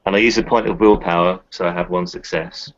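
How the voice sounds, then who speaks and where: A man with a deep voice full of fry talks through his moves in a tabletop game. His style is casual. The recording is lo-fi and dull. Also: brisk. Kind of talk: casual game play, describing actions and dice. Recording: dull lo-fi audio.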